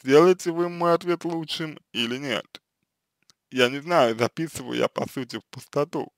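A man giving informal counseling advice, halting, often repeating and restarting his phrases. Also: a little high, no echo, clean studio sound. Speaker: counselor answering written questions. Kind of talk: reading a letter aloud, then informal advice.

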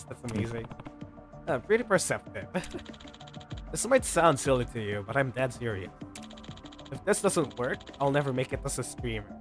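A man's voice: mid-range voice, a bit bright. A man livestreaming a game, reading its dialogue aloud in a playful way, ad-libbing comments and stumbling over the odd line. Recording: game music behind the voice. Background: video game music.

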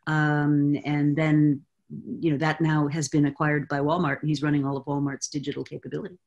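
A woman in her sixties explaining with confident, animated energy as guest expert on a video call from a home study. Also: deep voice, fairly quick, muffled recording. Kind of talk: video call roundtable answer. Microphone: webcam on a video call.

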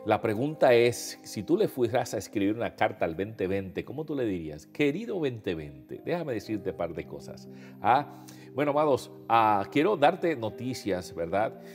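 Puerto rican pastor guy talking to his viewers, kinda nasal and stuffed up, lowish voice, music going behind. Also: big pitch swings, normal speed. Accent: Puerto Rican Spanish. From Puerto Rico. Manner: warm, conversational, addresses viewers as beloved, frequent fillers. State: nasal after a nose procedure. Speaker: pastor hosting an online devotional show. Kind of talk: casual devotional talk to viewers. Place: indoors before a holiday backdrop.

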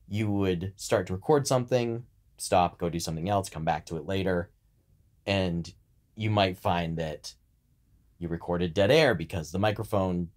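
A man co-hosting a podcast, explaining things in a conversational, instructional manner. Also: low voice. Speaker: podcast co-host.

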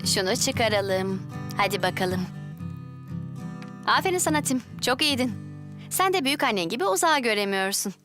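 A woman's voice: fairly high pitch, animated, normal speed, pauses often, over music, natural voice. A woman playing a patient, reassuring optometrist in a Turkish children's dub, guiding a child encouragingly step by step.

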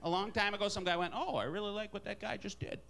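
A man from Wisconsin doing stand-up in a comedy club, with a nasal Wisconsin accent and an animated delivery full of put-on voices. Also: high-pitched, fast, gravelly. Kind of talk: stand-up set. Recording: live club with crowd and noise. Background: audience laughter.

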